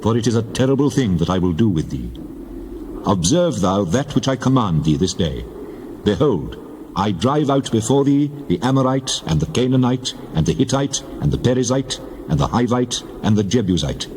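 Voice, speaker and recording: A man's voice: mid-range voice, big pitch swings, normal speed, darkish tone, slight background noise, close to an everyday voice. A man playing God in a dramatized scripture reading, speaking with solemn, declarative authority. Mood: commanding. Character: God, solemn commanding male divine voice.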